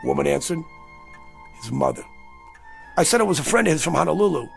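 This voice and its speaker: A man playing an agitated, insistent man haunted by a dream, in a dramatic production with a gravelly, urgent delivery. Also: a little high, animated, brisk, faint music behind. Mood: haunted, intent. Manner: urgent, pleading.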